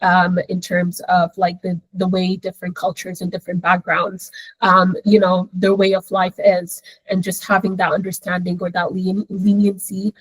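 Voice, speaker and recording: Brief: friendly, rambling woman moderator on a video call, flat delivery. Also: lowish voice, moderate pace, breathy edge, dry recording, poor audio. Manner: friendly, filler-heavy, meandering. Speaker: webinar moderator. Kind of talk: hosting a panel webinar.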